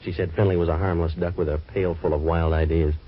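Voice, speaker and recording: An American actor playing a tough, wisecracking waterfront troubleshooter in a 1940s radio noir, with clipped deadpan delivery. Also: male voice, low-pitched, fast talker, a little hiss or hum, close to an everyday voice. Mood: dry, pressing. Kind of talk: 1940s radio noir acting and first-person narration. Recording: dull old radio recording, faint music.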